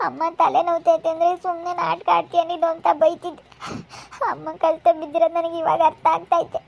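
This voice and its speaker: A woman's voice: extremely high pitch, level tone, fast talker, fairly bright tone. A woman playing a remorseful, tearful younger sister in an amateur family drama, with a plaintive, pleading delivery.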